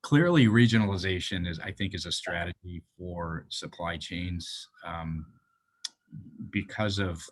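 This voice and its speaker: Deep-voiced husky male host, hesitant, conversational. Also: unhurried. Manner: hesitant, repeats words, frequent "right?". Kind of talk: conversational interview.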